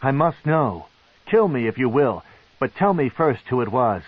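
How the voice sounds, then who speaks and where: A man voicing a desperate young male dark elf wizard who stutters and pleads. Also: mid-range voice, animated, moderate pace, no room sound, narrow, tinny audio, natural voice.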